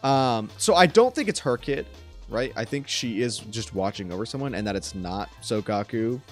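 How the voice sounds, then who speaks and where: A man's voice: mid-range voice, animated, normal speed, soft background music. A young man doing casual, enthusiastic and rambling reaction commentary as a streamer. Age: young adult. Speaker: streamer reacting to a game trailer. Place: small room at home. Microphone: close desk microphone.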